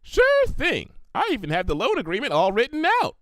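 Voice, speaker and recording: A man voicing a bubbly, hyperactive young female cartoon pony with chirpy, excitable emphasis. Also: high voice, moderate pace, dry recording, studio quality, pitched above the performer's own voice. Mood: bright, businesslike excitement.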